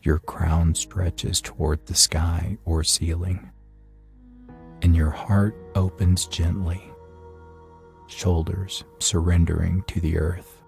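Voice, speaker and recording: A man leading a scripted guided meditation in a soothing, unhurried manner with long pauses. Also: deep voice, little pitch movement, creaky. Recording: soft music behind the voice. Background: faint meditation music.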